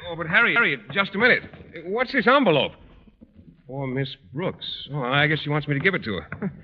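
An earnest, bashful American teacher in a 1940s radio comedy. He speaks hesitantly and sheepishly, his pitch bobbing up and down. The old recording is dull and limited in tone. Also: animated, brisk. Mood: genial, puzzled. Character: bashful, awkward, earnest man schoolteacher. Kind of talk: 1940s radio sitcom acting.

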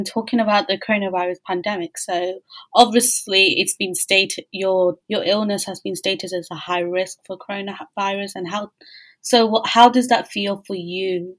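Woman podcast host, average pitch, casual and a bit halting, chatty interview questions. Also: poor audio. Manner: informal, halting phrasing, frequent "like".

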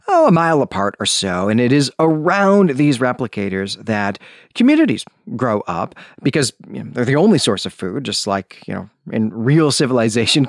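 A man's voice: medium pitch, dry recording, studio quality. A man hosting a book discussion podcast in a chatty, enthusiastic way, with informal asides. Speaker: podcast host.

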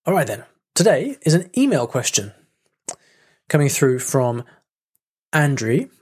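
A man's voice: mid-range voice, big pitch swings, unhurried, a little mellow, slightly rough. Chatty male podcast host. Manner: chatty, self-interrupting with fillers and laughs. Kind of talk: casual solo podcast talk.

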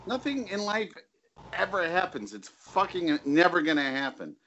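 Podcast guest, male, blunt and profane, lively swings in pitch, muffled lo-fi call audio with faint background hiss. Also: moderate pace. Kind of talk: casual crude banter.

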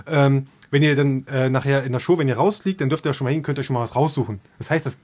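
A man's voice: medium pitch, quick pace, a little mellow. A man chatting casually about films and comics, telling stories in a rambling way and laughing often. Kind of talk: casual chat.

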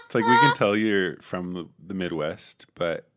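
A man's voice: sits low, moderate pace, a bit bright. A young man co-hosting a podcast in casual chat, dry and joking, often laughing. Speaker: podcast co-host.

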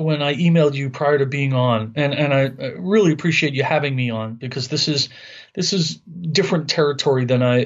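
A man's voice: mid-range voice, muffled recording. A man in his fifties from Long Island with a New York accent, talking earnestly as an interview guest and often repeating words while he searches for phrasing. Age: 50s. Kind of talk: conversational interview.

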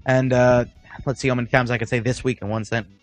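Excitable man co-hosting a podcast. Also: medium pitch, quick pace, no echo, faint music behind. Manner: excitable, jokey, rambling storyteller. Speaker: podcast co-host. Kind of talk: casual chat.

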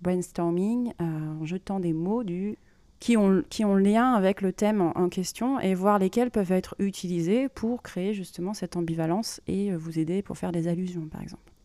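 Mellow low-voiced woman podcaster. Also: normal speed, no echo. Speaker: podcast host and creative writing workshop leader. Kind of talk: informal explanatory podcast monologue.

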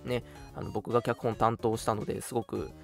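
A man's voice: lowish voice, flat delivery, brisk, creaky, soft background music. A man hosting a casual radio talk show, making announcements in polite phrasing mixed with friendly banter. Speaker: radio show host and scriptwriter.

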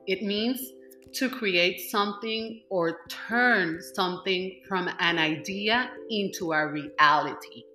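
A woman from Colombia, a manifestation coach, talking as a guest in a casual interview, halting and restarting as she goes. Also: on the low side, pushed and bright, reverberant. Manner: halting, with many restarts and fillers.

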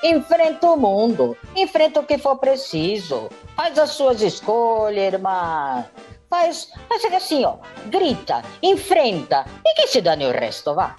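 A woman's voice: fairly high pitch, huge pitch swings, takes it slowly, darkish tone, soft background music, full character voice. A woman playing a defiant, hot-tempered young sister in a comedic radio soap, theatrical and mocking, dropping in Italian words. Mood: urging, bold.

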